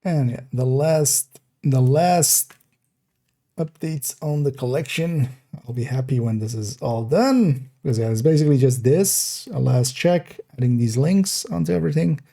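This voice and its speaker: Gravelly, mellow-voiced Dutch man. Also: mid-range voice, a little slow. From Netherlands. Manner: thinking aloud, relaxed and meandering. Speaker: streamer. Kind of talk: casual live-stream commentary.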